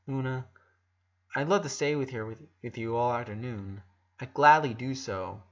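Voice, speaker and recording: A man reads as a gentle eleven-year-old little brother in a story. He speaks earnestly, halting and repeating words as he goes. The recording is slightly dull. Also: medium pitch, lightly acted. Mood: gently coaxing.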